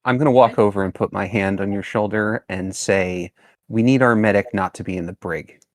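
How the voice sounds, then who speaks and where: A man playing a tabletop roleplaying game, improvising casually with a deadpan delivery. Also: on the low side, normal speed, dry recording, studio quality.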